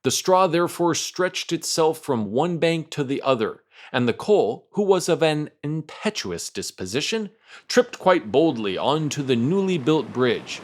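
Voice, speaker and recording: A man's voice: a little high, very animated, a little slow. A man reading a storybook aloud as a storyteller, theatrical and carefully phrased. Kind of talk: expressive read-aloud storybook narration.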